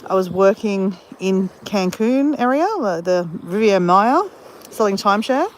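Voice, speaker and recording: A woman's voice: mid-range voice, expressive, a little slow, slight background noise, rough recording. An Australian woman chatting casually while walking on a beach, telling a rambling story full of self-corrections. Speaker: vlogger. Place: outdoors on a beach.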